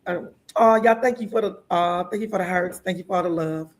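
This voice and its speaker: A woman's voice: on the low side, brisk. A woman in her sixties hosting an interview show, chatty and laughing often. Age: 60s. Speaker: host. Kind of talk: casual interview talk.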